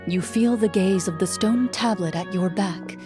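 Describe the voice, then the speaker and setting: A woman voicing a game master who narrates in the second person, in a measured storytelling manner. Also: medium pitch, natural voice. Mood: steady. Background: music.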